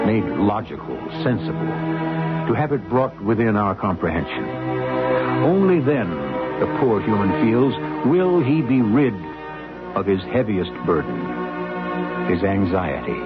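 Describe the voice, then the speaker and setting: A male radio drama host giving a reflective closing commentary in a measured, musing way, on a tinny old broadcast. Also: lowish voice, very animated. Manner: slow, measured, musing delivery. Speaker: host and narrator. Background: music.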